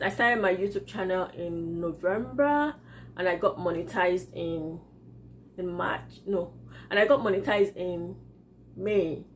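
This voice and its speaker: A woman's voice: medium pitch, a little hiss or hum, poor audio. A woman with a Nigerian accent, whose first language is Yoruba, chatting casually in a vlog and laughing often as she answers viewer comments. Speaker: vlogger.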